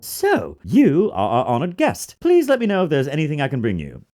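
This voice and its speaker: An actor voicing a self-important, morbidly eager hotel bellboy from a video game, with an obsequious, melodramatic manner. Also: male voice, a little high, wildly swooping pitch, normal speed, darkish tone. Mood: proud. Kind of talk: dramatized video game dialogue.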